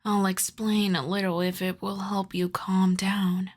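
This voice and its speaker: A woman voicing a seductive, lovestruck vampire in a soft, breathy, intimate ASMR whisper, coaxing and doting. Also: medium pitch, little pitch movement, a little slow, lightly acted. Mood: gently commanding.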